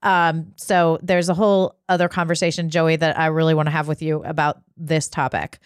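A woman's voice: sits low, flat delivery, normal speed, clear, resonant tone, dry recording, studio quality. A woman hosting a podcast in a casual, earnest and appreciative way. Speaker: podcast host. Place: remote video chat recording.

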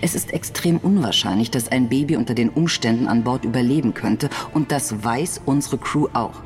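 A woman playing a composed company spokeswoman who gives measured, diplomatically defensive statements at a press conference in an audio drama. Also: a bass voice, a little mellow. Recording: long hall reverb, faint music.

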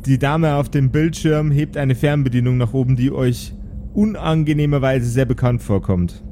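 A man hosting and narrating an improvised tabletop audio drama as game master, measured and descriptive. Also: mid-range voice, dark tone, a little hiss or hum. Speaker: game master and podcast host.

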